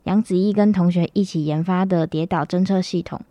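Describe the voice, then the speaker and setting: A woman's voice: on the low side, steady tone, brisk, mellow, no room sound. A woman campus radio reporter with a Taiwanese Mandarin accent, reading a scripted news report. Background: music.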